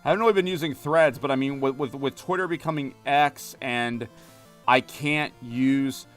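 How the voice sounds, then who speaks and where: A talkative young man chats loosely while streaming, full of fillers and little jokes. His voice is smooth and clear at a middling pitch. Soft music sits under his voice. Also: moderate pace. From United States. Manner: rambling, talkative, many fillers, reads chat aloud and jokes. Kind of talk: casual live-stream chat while gaming. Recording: music playing behind.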